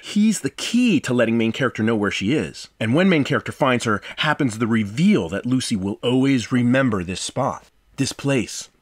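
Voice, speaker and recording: A man hosting a game review, delivering scripted commentary in an impassioned, theatrical way that moves between profanity and sentiment. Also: medium pitch. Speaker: video host, game reviewer.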